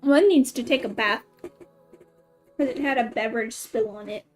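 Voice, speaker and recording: Female game streamer, high voice, chatty and playful, casual think-aloud delivery over background music. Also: a little slow. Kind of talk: casual live gameplay chat.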